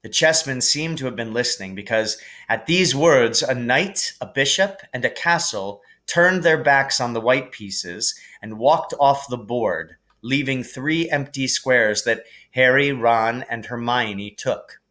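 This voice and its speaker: A man reading a storybook aloud as an expressive narrator, in a measured, deliberate storytelling manner. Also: mid-range voice. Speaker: teacher reading a novel aloud.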